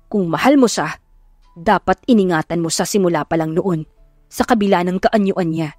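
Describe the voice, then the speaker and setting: A firm, commanding male suitor , voiced by a woman, scolding and protective. It is audiobook romance acting with a dry sound. Soft music runs behind. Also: lowish voice, normal speed, no echo, lightly acted. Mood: angry, scolding.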